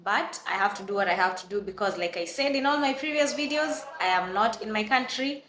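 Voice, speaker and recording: A young Kenyan woman with a Kenyan accent telling an animated storytime, exclaiming and acting out what others said. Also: medium pitch, brisk, reverberant. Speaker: vlogger. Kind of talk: casual storytime.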